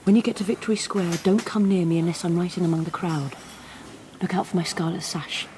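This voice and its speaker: A woman's voice: fairly low pitch, steady tone, brisk, dark tone, a little hiss or hum, lightly acted. An actress playing a bold, secretive young rebel woman in a radio drama, giving clipped, bossy instructions. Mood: urgent, secretive. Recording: music behind the voice.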